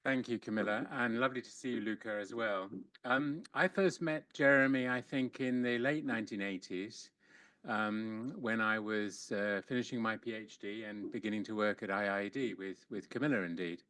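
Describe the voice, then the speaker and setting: A man in his sixties, speaking as a panelist on a video call, relaxed and lightly humorous as he recalls old times. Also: fairly low pitch, normal speed, no echo. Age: 60s. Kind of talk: webinar reminiscence. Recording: distant dry laptop mic.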